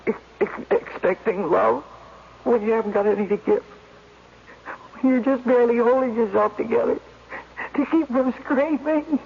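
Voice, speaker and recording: An American woman playing a desperate, wryly theatrical married actress in a 1970s radio drama, emotional and halting. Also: fairly high pitch, gravelly, husky. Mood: anguished. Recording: dull, noisy old radio broadcast.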